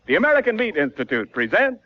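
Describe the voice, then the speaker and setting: A man's voice: high-pitched, wildly swooping pitch, fast talker. A man announcing formally in a declamatory, scripted 1940s radio style, on a dull old broadcast recording. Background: music.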